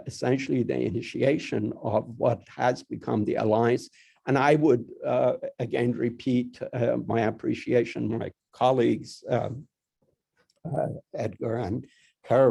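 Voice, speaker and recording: Older dean with a gravelly voice. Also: male voice, medium pitch, mellow. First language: Persian. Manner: halting, many restarts and fillers. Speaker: college dean. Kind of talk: formal address to a meeting.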